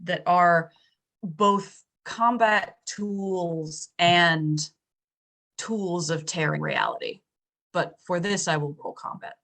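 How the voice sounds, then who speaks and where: A woman playing a tabletop roleplaying game, improvising narration in deliberate broken phrases and chatting casually at the table. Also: fairly low pitch, creaky, a bit bright.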